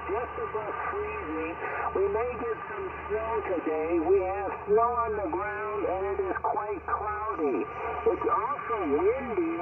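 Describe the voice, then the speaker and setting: A man's voice: high voice, far from the mic, narrow, tinny audio. Older man on noisy shortwave radio. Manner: repeats phrases deliberately. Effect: heard over shortwave radio. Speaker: amateur radio operator. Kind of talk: casual ham radio contact.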